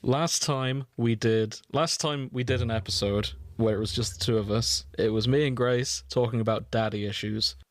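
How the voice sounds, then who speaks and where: A man's voice: medium pitch, steady tone, normal speed, hardly any pauses, no echo, studio quality. A man hosting a podcast with casual banter, wry and teasing and happy to swear. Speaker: podcast host and editor.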